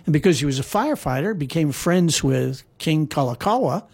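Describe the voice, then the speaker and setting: A man's voice: on the high side, lively intonation, moderate pace, gravelly, a little mellow, slightly rough. A man telling historical stories in a casual conversation, rambling with frequent fillers and restarts. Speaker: guest storyteller. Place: lives in Hawaii.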